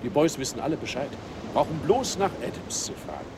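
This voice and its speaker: An actor playing a chatty, friendly middle-aged American widower on holiday, in a radio play. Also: male voice, medium pitch, a little fast, noisy background, natural voice. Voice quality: talkative, friendly, lively. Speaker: hotel guest. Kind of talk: 1980s radio play acting.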